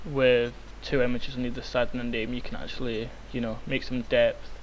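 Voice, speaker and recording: A young man, hoarse and croaky from illness, rambling with many ums through a software tutorial. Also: medium pitch, level tone, normal speed, rough recording. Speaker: tutorial maker. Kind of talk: screen-recorded software tutorial. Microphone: cheap microphone. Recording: faint hiss, cheap mic.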